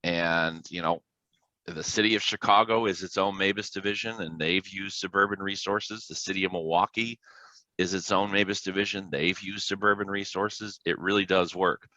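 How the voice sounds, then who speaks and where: A man's voice: low voice, fairly even intonation, normal speed, bright, forceful tone, no room sound, lo-fi sound. An American man talking casually as a podcast guest, explaining his dispatch work in an informal, plain-spoken way. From Illinois, United States. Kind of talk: casual explanatory interview talk with anecdotes.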